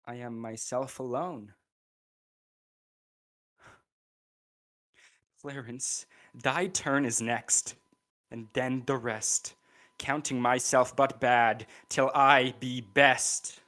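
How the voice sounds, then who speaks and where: A man's voice: mid-range voice, steady tone, slow pace, bright, forceful tone. A young male student actor playing a scheming, bitter royal brother in a Shakespearean radio play. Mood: cold, menacing. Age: young adult.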